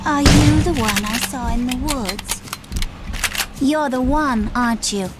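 A woman's voice: sits high, takes it slowly. A woman playing a forlorn, eerie lost woman in a video game. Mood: pleading, dreamy. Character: forlorn, eerie woman waiting to be taken away. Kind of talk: video game character acting. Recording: very noisy game battle behind.

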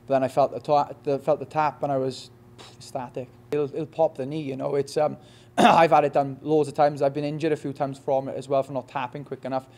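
A young man with a Welsh accent in a post-fight interview, talking quickly with many restarts and "you know what I mean". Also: mid-range voice, level tone, a little mellow. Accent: Welsh, Swansea. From Wales. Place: press backdrop indoors. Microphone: reporters' handheld mics in front.

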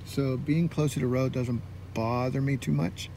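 A man's voice: mid-range voice, steady tone, moderate pace, mellow. A man giving casual running commentary as a camping vlogger, thinking aloud in short phrases. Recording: noisy, car and vehicle sounds.

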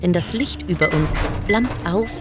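Woman, deep voice, audiobook-style storytelling, scripted, music playing behind. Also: expressive, measured pace. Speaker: story reader. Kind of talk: scripted first-person narration.

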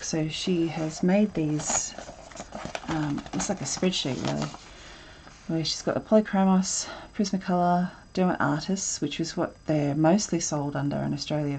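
A woman's voice: sits low, creaky, warm tone, husky. A woman teaching a live drawing session from her desk at home, talking casually and rambling with lots of ums. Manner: chatty, rambling, self-interrupting with ums. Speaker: art instructor. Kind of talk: casual live draw-along chat.

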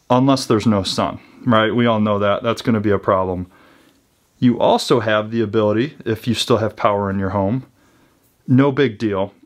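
Male, thirties, lowish pitch, informal gear-review host, conversational delivery to camera. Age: 30s. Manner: informal, conversational, checks in with "right?". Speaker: host of a preparedness gear channel. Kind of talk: casual product review to camera. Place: small indoor room with built-in shelves.

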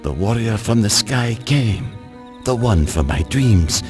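An actor playing a solemn, reverent prophet, narrating portentously in a video game. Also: male voice, fairly low pitch, animated, takes it slowly, music in the background. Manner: portentous, dramatic storytelling.

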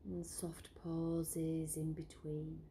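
A woman leading a guided breathing meditation, giving slow, soothing instructions that often drop to a whisper. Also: sits low, monotone, dark tone, slight background noise.

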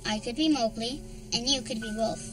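An actress playing a young woman who reads a jungle story aloud, lively with the animal voices, and recalls her childhood. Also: a little high, fairly quick, natural voice. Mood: storytelling. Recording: film audio from a distance, music behind.

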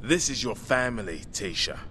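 A streetwise British survivor in a zombie video game, flippant and sardonic. His voice is bright and forward with a very lively pitch. Faint ambient noise sits behind him. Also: moderate pace. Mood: wry, insistent.

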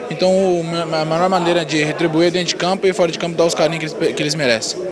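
Brisk, higher-voiced Brazilian striker. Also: male voice, fairly even intonation. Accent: Brazilian Portuguese. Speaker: football striker. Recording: crowd noise behind.

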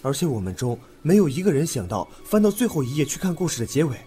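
A man acting in a Chinese audio drama as an earnest, thoughtful young man, expressive and musing. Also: on the high side, fast, soft background music. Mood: pondering.

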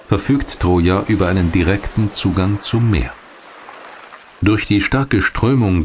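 A man reading scripted documentary narration in a measured, deliberate storytelling manner. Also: deep voice, slight background noise.

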